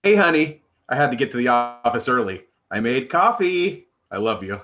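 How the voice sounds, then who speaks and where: An affectionate husband, acted by a man, voicing a note to his wife at a comedy table read. His middle-pitched voice moves up and down in a lively way. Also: moderate pace, lightly acted.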